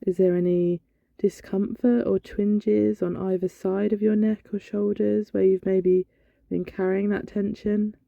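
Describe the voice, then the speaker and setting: A woman leading a guided body scan meditation in a gentle, soothing, instructional manner. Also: mid-range voice, level tone, measured pace, gravelly. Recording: dull, lo-fi, roomy echo.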